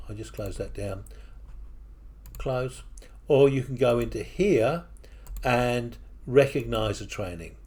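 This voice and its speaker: A man with a mixed English and New Zealand accent, walking through software on his computer in a casual, hesitant way with many fillers. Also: mid-range voice, slightly rough. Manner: hesitant, with frequent fillers and restarts. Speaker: software tutorial presenter. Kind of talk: casual screen-recording walkthrough. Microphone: desktop microphone at a computer.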